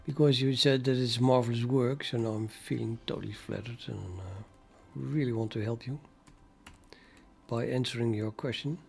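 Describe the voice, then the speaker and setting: An older man from the Netherlands with a Dutch accent, talking casually and haltingly to his livestream chat while he codes. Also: mid-range voice, vocal fry, darkish tone, faint music behind. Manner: musing, hesitant, halting with many fillers, chuckles to himself. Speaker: programming livestreamer.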